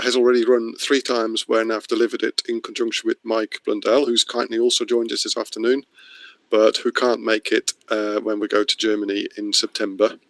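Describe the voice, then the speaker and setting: A man's voice: on the low side, fairly bright tone, no echo, poor audio. Middle-aged man from the Netherlands, dry close sound. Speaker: course presenter. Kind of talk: webinar introduction, spoken off the cuff. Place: online video meeting.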